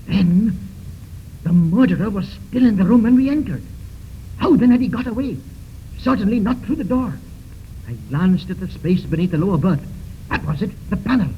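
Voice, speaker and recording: An actor playing a tense, resolute detective who narrates his case theatrically in a 1930s radio mystery serial. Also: sits high. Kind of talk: 1930s radio drama first-person narration. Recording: muffled, noisy 1930s radio recording.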